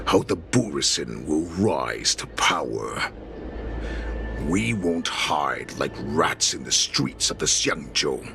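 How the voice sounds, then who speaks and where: An actor playing a ruthless, proud wolf-beast warlord in a video game, speaking with slow menace and grand speeches. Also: male voice, very deep voice, very animated, gravelly, heavily acted. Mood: grandiose, commanding. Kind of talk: video game villain acting. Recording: loud music under the voice.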